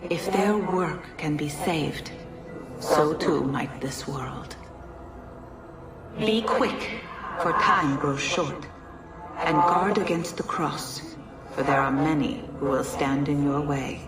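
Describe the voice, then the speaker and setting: A woman voicing an ancient godlike being in a game cutscene, speaking in solemn, measured, formal oration. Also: low voice. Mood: urgent, hopeful. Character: ancient godlike female being of a dying precursor race, solemn. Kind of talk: dramatic game cutscene monologue. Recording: echoey, distant voice under loud music.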